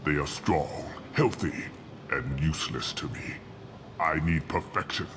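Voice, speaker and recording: An old alien warlord scientist with a deep voice, imperious and disdainful. His delivery is pompous and theatrical, in the manner of a sci-fi game villain, over clearly noisy ambience. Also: unhurried. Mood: cold, imperious. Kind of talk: video game voice acting. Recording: loud ambient noise behind.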